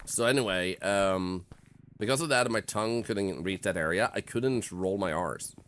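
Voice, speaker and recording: A man's voice: sits low, moderate pace, a bit bright, a little hiss or hum. A young man with a Swedish accent, chatting candidly on a game stream in a reflective, rambling way full of fillers. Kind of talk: casual gaming stream chat.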